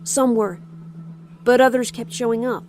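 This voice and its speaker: A woman's voice: fairly high pitch, steady tone, darkish tone, slight background noise, close to an everyday voice. A woman voicing a dazed, innocent captive child, hesitant and puzzled, in an audio drama. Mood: puzzled, wistful. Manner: hesitant, halting.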